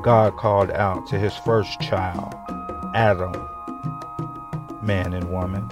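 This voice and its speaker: A man giving a spiritual meditation talk, slow and deliberate with long pauses. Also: sits low, steady tone. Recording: voice over music with wood block.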